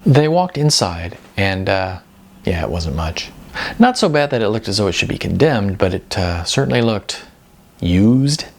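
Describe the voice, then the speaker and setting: A man narrating an audiobook in a lowish voice with plenty of fry. His pitch moves in a lively way through the scripted storytelling. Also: measured pace.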